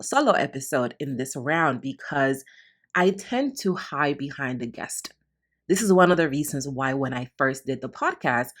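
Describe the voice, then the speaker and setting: Low voiced new woman, raised in the bronx, doing a heartfelt solo podcast, repeats stuff for emphasis. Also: breathy edge. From Honduras, raised in the Bronx, New York. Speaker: podcast host and mentor. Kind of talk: reflective solo podcast monologue.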